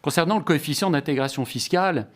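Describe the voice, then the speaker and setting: A man's voice: on the high side, quick pace. A man delivering a scripted explanatory lecture in a formal, didactic way, stressing each point as he lists it. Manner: formal, didactic, emphatic enumeration.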